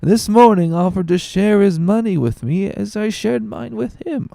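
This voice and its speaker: Casting: maudlin, loyal drunkard tailor, slurring slowly in a raised, swinging voice, classic storybook drama. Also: male voice, high-pitched, animated, creaky, warm tone, no echo, pitched above the performer's own voice. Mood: drunken protest. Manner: slurred, drawn-out drunken speech.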